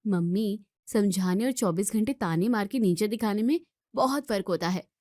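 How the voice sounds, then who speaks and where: A woman's voice: mid-range voice, normal speed, darkish tone, no room sound, studio quality, lightly acted. A woman playing an outspoken young student in a family drama, scolding and arguing with emphatic questions. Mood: firm, warning. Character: outspoken young student daughter defending her sister-in-law. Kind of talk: Hindi family drama voice acting.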